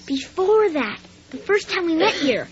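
A woman's voice: extremely high pitch, sing-song, measured pace, crowd noise, heavily acted. A woman voicing a shy, earnest schoolboy who stammers and hesitates, in a radio drama. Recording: slightly dull radio drama recording.

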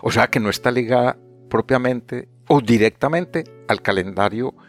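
A Colombian man with a Paisa accent, co-hosting a casual astronomy podcast, easygoing and halting with many restarts. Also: medium pitch, soft background music. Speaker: astronomy communicator, co-host.

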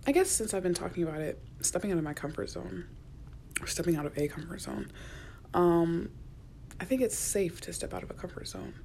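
Low-voiced young woman rambling softly. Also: expressive, a little fast, vocal fry, slight background noise. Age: young adult. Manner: rambling, chatty, often drops to a near whisper. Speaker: podcast host. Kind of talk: casual solo chat. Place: quiet university work-study office.

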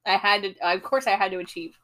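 A young woman telling a friend about a past night out. She rambles, stops to restart, fills gaps with fillers and laughs easily. The pitch sits in a middle range. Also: quick pace, fairly bright tone, rough recording. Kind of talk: casual chat.

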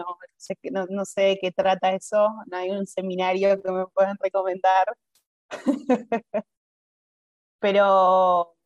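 A woman's voice: mid-range voice, dry recording, lo-fi sound. A woman from Argentina with an Argentine accent, a guest in a casual interview, talking hesitantly with many fillers and restarts. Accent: Argentine Spanish. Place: abroad, remote call.

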